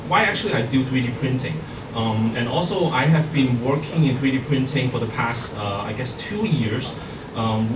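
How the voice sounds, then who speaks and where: Man, middle-aged, from Hong Kong, halting presentation full of fillers, noisy distant recording. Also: medium pitch. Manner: halting, many fillers and restarts. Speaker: guest speaker. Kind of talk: workshop presentation. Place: conference hall. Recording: echoey hall, far from mic, noisy.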